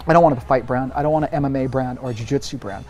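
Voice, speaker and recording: A man in his forties giving casual interview answers, emphatic and animated, often restarting his sentences. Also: mid-range voice, rapid-fire, muffled recording. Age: 40s. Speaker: interview guest, brand executive.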